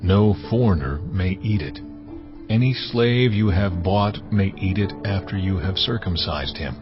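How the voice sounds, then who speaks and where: The voice of God issues measured, authoritative decrees. The tone is low and steady. Music plays beneath on a slightly dull track. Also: male voice. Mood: solemn. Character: solemn, commanding voice of God. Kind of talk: dramatized scripture reading. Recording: dull audio over music.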